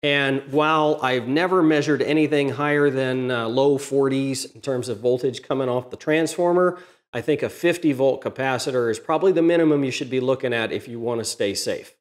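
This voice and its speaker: A man's voice: mid-range voice, fairly quick. A middle-aged man hosting a workshop channel, explaining a welder modification to the camera in a plain, methodical way, recorded in his home workshop. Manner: methodical, plain-spoken, technical. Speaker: DIY welding channel host. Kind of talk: explanatory tutorial talk to camera.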